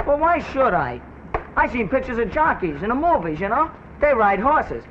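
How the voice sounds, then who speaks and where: A skeptical teenage wisecracker from New York, with a very high and animated voice. The old movie soundtrack is muffled and clearly noisy. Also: male voice, normal speed, exaggerated voice. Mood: mocking. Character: skeptical, needling teenage street kid. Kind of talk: 1940s film comedy acting.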